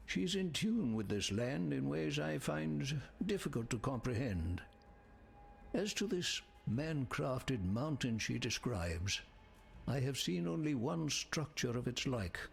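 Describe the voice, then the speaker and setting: A man playing a learned scholar in a fantasy video game, measured and formal, with a gravelly voice. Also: medium pitch, big pitch swings, slight background noise, exaggerated voice. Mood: thoughtful, skeptical. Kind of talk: video game dialogue acting.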